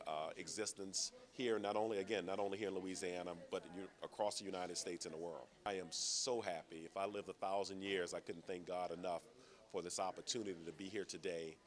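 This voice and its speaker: A university system official speaking earnestly to camera, with lofty, inspirational phrasing. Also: male voice, low-pitched. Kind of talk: interview statement to camera.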